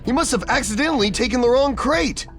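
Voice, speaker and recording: An actor voicing an excitable, chatty young animal plumber, a little brother, in a video game. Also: male voice, extremely high pitch, quick pace, soft background music, exaggerated voice. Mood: puzzled, helpful. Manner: exuberant, chatty.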